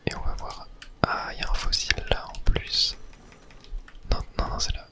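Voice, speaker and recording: Whispering man, ASMR gameplay commentary. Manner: soft, unhurried, casual murmuring to viewers. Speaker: video game streamer. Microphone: close ASMR microphone.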